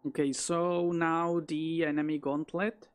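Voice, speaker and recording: Slow-talking woman hosting a game playthrough. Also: sits low, flat delivery. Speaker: gaming video host. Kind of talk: game commentary and reading dialogue aloud.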